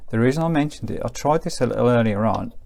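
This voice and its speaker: A British man giving a casual, hands-on demonstration as a radio reviewer, explaining as he works in an informal, low-key way. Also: expressive, fast talker, vocal fry, darkish tone, a little hiss or hum.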